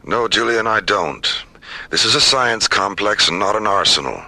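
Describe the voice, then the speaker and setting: An actor playing a principled science director, speaking firmly and articulately in a science fiction radio drama. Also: male voice, fairly low pitch, animated, sharp tone, dry recording, full character voice. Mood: firm, challenging. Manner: measured, firm, articulate. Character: principled, firm male science director opposing weapons.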